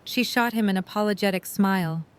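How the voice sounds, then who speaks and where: A woman's voice: medium pitch, brisk, smooth. A woman reading scripted story narration for an audio drama.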